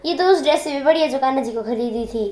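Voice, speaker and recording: A woman's voice: high-pitched, quick pace, a little mellow. A young woman teasing her mother at home and judging the doll dress in a playful way. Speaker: vlogger's daughter. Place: home indoors. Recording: echoey home room.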